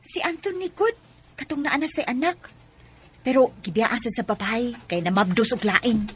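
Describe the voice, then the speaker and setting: A woman's voice: on the high side, normal speed, a bit bright, breathy edge. A woman with a Cebuano accent playing a chatty, teasing co-worker and matchmaking friend in a radio drama. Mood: teasing, coaxing. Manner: gossipy, coaxing. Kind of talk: Bisaya radio drama acting.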